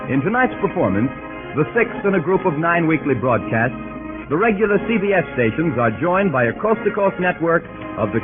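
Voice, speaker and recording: A man's voice: a little high, phone-quality sound. A man announcing a radio program in formal, declamatory 1930s broadcast style. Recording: thin 1930s radio broadcast over music.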